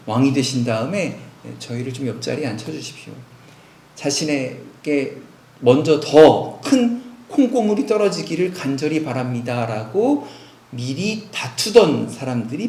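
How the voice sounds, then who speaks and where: Guy pastor preaching, voice swings up and down a lot, kinda high, echoey church room. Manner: animated preaching, rhetorical questions to the congregation. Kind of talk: sermon. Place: church sanctuary.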